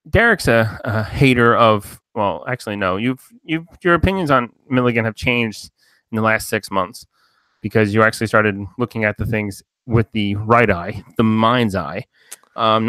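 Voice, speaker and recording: Rambling casual podcast host, dry close mic. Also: male voice, lowish voice, moderate pace, bright, forceful tone, smooth, no room sound. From New Jersey. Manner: rambling, loose, swears freely, lots of fillers.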